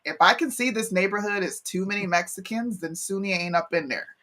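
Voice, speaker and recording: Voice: medium pitch, brisk, pushed and bright, slight background noise. A co-host from Pennsylvania talking bluntly and profanely in a casual debate on a talk show.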